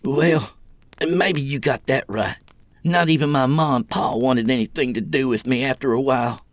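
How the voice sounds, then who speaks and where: A man's voice: medium pitch, moderate pace, no room sound, pitched above the performer's own voice, lightly acted. A man voicing a young, defiant, jumpy jailed killer with folksy rural speech in a narrated story. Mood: wounded, bitter.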